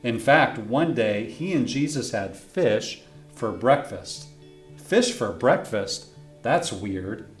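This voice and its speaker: A man's voice: mid-range voice, lively intonation, takes it slowly. Man reading a children's story. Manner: friendly storyteller who asks young listeners questions. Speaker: professor reading his own children's book. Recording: roomy echo with faint guitar music.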